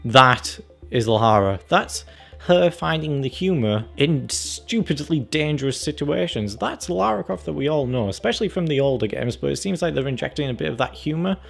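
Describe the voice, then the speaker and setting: A man's voice: on the high side, expressive, normal speed, gravelly, a bit bright, faint music behind. A man giving casual, opinionated video commentary as a review vlogger, in a rambling, conversational way. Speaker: film and game review vlogger.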